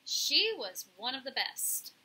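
A woman's voice: high voice, big pitch swings, slow pace, sharp tone, slight background noise, full character voice, poor audio. A woman voicing a bold, streetwise female pigeon who leads her flock, talking in an emphatic, colloquial, bossy way in a children's storybook. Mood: regretful.

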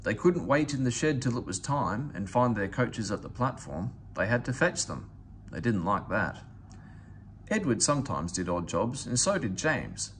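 A man reading a children's story aloud as scripted narration, in an expressive storytelling manner. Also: mid-range voice, a little hiss or hum. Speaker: storybook reader.

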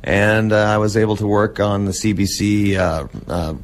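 A man in his forties speaks in a low-pitched voice as a guest on an interview show. He reminisces casually, linking his thoughts loosely and rambling from one to the next. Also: fairly even intonation, normal speed. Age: 40s. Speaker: interview guest, old-time radio collector.

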